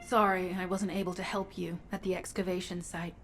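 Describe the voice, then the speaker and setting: A woman's voice: fairly low pitch, flat delivery, a little fast, fairly bright tone, soft background music. A woman playing a dutiful, weary but determined recon soldier in a video game, speaking sincerely. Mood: apologetic, resolute. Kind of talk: scripted video game dialogue.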